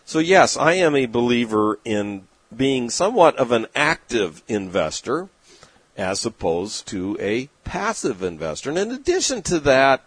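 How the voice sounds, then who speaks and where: A middle-aged man, an investment manager, giving plain-spoken, opinionated market commentary with folksy turns of phrase and frequent pauses. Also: mid-range voice, sing-song, breathy edge, no room sound. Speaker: investment manager and newsletter writer. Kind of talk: direct-to-camera market commentary.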